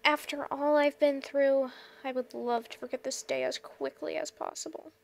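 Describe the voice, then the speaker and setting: A glum young teenage girl with a high voice, acted by a woman. She sounds reluctant and a little dry, in comic dub style. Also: fairly even intonation, moderate pace, gravelly. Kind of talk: comic fandub acting.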